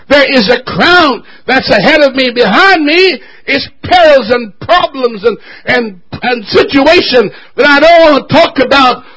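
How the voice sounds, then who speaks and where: A man preaching an impassioned sermon in church, shouting with his voice breaking from emotion. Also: very high-pitched, poor audio. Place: church service.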